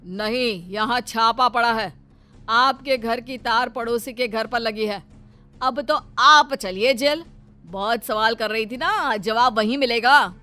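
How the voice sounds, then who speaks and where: A woman's voice: a little high, slow pace, sharp tone, faint music behind. A woman voicing a rude, vindictive government official in a cartoon story, mocking and officious. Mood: smug.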